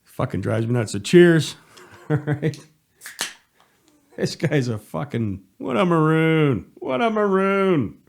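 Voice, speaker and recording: A man's voice: a little high, expressive, takes it slowly, vocal fry, long pauses. A man giving a crude, mocking, exasperated reaction commentary in a casual, profane style. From Canada. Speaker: commentator reacting to a press conference.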